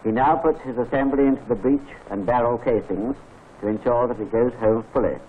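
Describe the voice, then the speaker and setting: British male narrator, measured and formal, tinny old film sound with faint hiss. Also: mid-range voice. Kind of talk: scripted training film narration.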